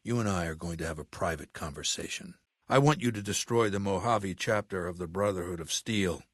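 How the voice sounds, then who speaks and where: A male actor playing an imperious middle-aged dictator of a slaver legion in a video game, speaking in a commanding, condescending, deliberate way with menace. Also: low-pitched, fairly bright tone, slightly rough, dry recording, clean studio sound. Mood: measured, commanding. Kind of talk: video game character dialogue.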